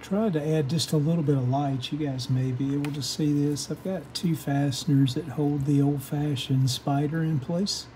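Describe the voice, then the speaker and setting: A man's voice: a little high, steady tone, normal speed, hardly any pauses, warm tone, a little hiss or hum, poor audio. A man giving an unscripted, hands-on workshop demonstration, explaining step by step in a methodical, hesitant way. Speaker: host of a radio repair how-to channel. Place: indoor home workshop bench.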